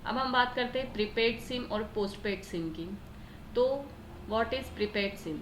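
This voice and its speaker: A woman's voice: fairly high pitch, unhurried, fairly bright tone. An Indian woman teacher explaining a lesson step by step, often asking questions and answering them herself. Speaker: computer teacher. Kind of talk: explanatory lesson in Hindi mixed with English terms. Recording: echoey room with faint background noise.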